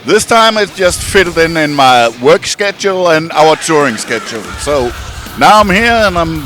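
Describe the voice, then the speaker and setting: A man's voice: fairly high pitch, animated, moderate pace, pushed and bright, a little hiss or hum. A man from Denmark, a touring musician, speaking plainly in an outdoor street interview. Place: outdoor parking lot.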